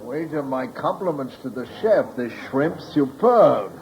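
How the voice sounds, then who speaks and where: An actor playing a smug, unhurried rich businessman in a 1950s radio drama, suave and condescending. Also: medium pitch, animated, over loud music. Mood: smug relish. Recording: muffled old radio recording.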